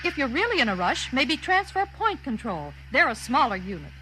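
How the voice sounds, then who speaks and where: An actress playing a formal, unruffled receptionist in a 1950s radio drama. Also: female voice, high voice, sing-song, brisk. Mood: unruffled, official. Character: unhurried, businesslike female receptionist. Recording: noisy old radio recording.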